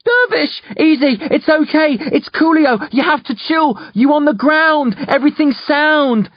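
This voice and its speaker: A man's voice: extremely high pitch, expressive, measured pace, no echo, lo-fi sound, voice pushed up. A man voicing an urgent young hero who calls out insistent, sing-song rhymes. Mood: soothing, urgent.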